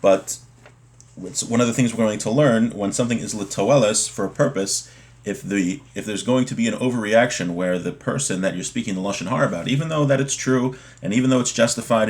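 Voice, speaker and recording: A man giving an informal religious lesson, reading from a text and explaining it point by point, often rephrasing as he goes. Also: on the low side. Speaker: religious teacher.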